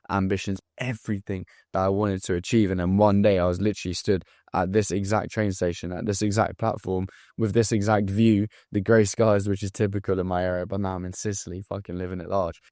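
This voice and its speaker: A man in his twenties from England with a southern English accent, talking straight to camera as a sales coach in a blunt, profane, hyped-up motivational pitch. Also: darkish tone, dry recording, clean studio sound. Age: 20s. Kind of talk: direct-to-camera pitch video.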